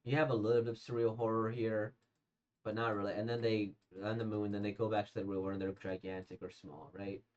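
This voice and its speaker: A hoarse, slightly congested man casually reacting to manga he has read, rambling with many fillers and restarts. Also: fairly low pitch, flat delivery, fairly quick. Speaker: manga reader and reviewer. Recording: dull lo-fi sound.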